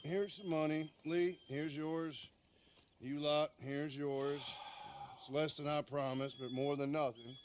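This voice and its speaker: An actor playing a wry, easygoing American Old West marshal in a video game cutscene, with a folksy, sardonic way of talking. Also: male voice, on the high side, fairly even intonation, takes it slowly, slight background noise. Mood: dry, matter-of-fact. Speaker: lawman.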